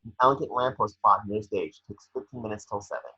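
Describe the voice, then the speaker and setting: Raspy, low-voiced man reading screenplay narration. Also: a little fast, pushed and bright. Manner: stumbles over the script. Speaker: scene description reader. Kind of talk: screenplay narration at an online table read. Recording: echoey room, slightly dull microphone.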